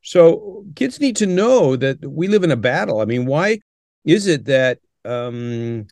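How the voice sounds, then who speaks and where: An older man speaking in an earnest, measured way, like someone teaching, and pausing often with "uh". His voice sits at a middle pitch and is recorded dry and close, with no room sound. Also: very animated, darkish tone, dry recording. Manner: earnest, measured, with frequent "uh" pauses. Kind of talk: interview answers, teaching tone.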